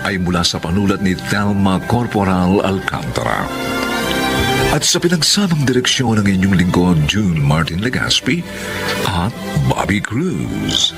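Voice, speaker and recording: Deep gravelly radio drama announcer. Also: male voice, sing-song, over music. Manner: slow, solemn announcer delivery. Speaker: radio drama narrator and director. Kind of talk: closing narration and credits.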